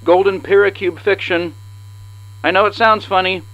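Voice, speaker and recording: A man's voice: sits high, fairly even intonation, moderate pace, muffled recording. A man hosting a podcast, casually addressing his listeners with an announcement. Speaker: podcast host.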